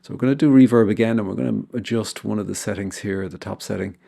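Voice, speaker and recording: A man narrating an informal software tutorial as its host, explaining things step by step. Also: on the low side, fast, vocal fry.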